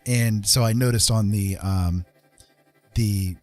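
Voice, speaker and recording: A man's voice: fairly low pitch, steady tone, takes it slowly, darkish tone, soft background music. A man hosting a livestream, talking in a casual, unscripted, rambling and conversational way. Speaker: live streamer hosting a chat stream.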